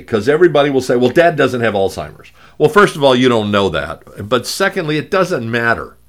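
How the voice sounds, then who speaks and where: A man with a gravelly voice, hosting a talk show and speaking in a halting way with many fillers and restarts. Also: mid-range voice. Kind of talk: conversational radio talk.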